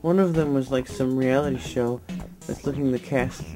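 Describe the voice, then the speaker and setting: A sleepy young man lying on a floor, talking in a drowsy, rambling, deadpan way into a nearby webcam. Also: mid-range voice, normal speed, over music. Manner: drowsy, mumbling, deadpan with sudden laughs. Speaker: vlogger. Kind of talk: rambling late-night webcam monologue. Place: lying on a floor in a room indoors.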